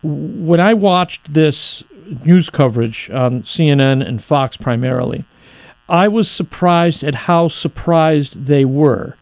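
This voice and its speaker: A man's voice: on the high side, slow pace, vocal fry, darkish tone, no room sound, rough recording. A man hosting a radio talk show, asking earnest, exasperated questions with frequent restarts. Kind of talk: radio interview.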